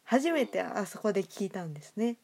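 A woman's voice: mid-range voice, animated, brisk, vocal fry, crowd noise. A woman hosting a casual chat with a guest, giggly and self-deprecating in the way she talks. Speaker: host and writer of an audio drama show.

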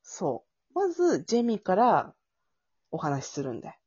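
A woman's voice: fairly low pitch, huge pitch swings, normal speed, a little mellow, husky, lo-fi sound. A woman hosting a casual audio show, chatting as she sets up and narrates an improvised skit. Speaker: host and narrator.

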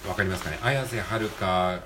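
A man's voice: low-pitched, moderate pace, background noise. A man hosting a solo show with an improvised casual monologue, rambling and self-deprecating as he digresses. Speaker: solo audio show host.

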